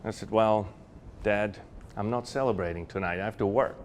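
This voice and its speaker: A man tells personal stories to a university audience. His voice sits low, and his telling rambles warmly with fillers and restarts. A faint hiss runs under the recording. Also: normal speed. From Switzerland. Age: 40s. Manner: warm anecdotal storytelling with frequent fillers and restarts. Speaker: guest speaker. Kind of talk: storytelling lecture. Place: university lecture hall.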